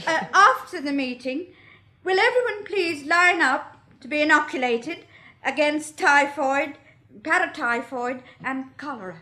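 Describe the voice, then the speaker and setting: A woman's voice: high voice, animated, unhurried, sharp tone, full character voice. An actress playing an earnest, practical nurse, speaking carefully and a little hesitantly as she gives instructions in a radio drama. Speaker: nurse addressing a meeting.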